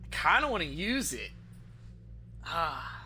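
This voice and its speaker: A man commentates on a video game in a casual, sweary way. His voice sits a little high and swings widely in pitch. Clear noise runs behind him. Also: very animated, takes it slowly, sharp tone. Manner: foul-mouthed, informal. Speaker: gaming video host.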